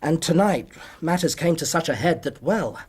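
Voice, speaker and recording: A young English doctor in period radio drama, modest and courteous. The voice sits a little high and is slightly husky. He speaks precisely but hesitantly, with lively rises and falls. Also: moderate pace. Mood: polite, nervous. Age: young adult. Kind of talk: period radio drama acting, narrating his story.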